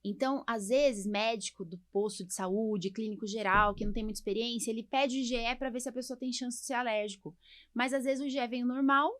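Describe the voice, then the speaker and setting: Woman podcast host, higher-pitched voice. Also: steady tone, a little fast, fairly bright tone, no echo. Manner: explanatory, often checks in with tag questions. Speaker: podcast host, allergist doctor. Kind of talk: casual podcast conversation.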